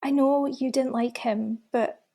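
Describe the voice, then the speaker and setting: A woman playing a frightened, weary help-seeker in a radio play, husky and hesitant. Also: high-pitched, steady tone, measured pace, mellow. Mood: upset. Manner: hesitant, plaintive. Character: frightened, weary, needy female regular visitor to helpline. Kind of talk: naturalistic radio play acting.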